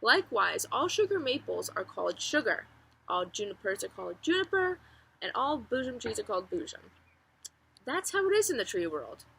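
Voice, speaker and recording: A woman's voice: mid-range voice, gravelly, sharp tone, breathy edge, heavily acted, rough recording. A woman voicing a friendly, proud oak tree with wry, chatty humour in a children's storybook read-aloud. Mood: amused. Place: outdoors among trees. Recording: outdoor ambient noise, faint birdsong.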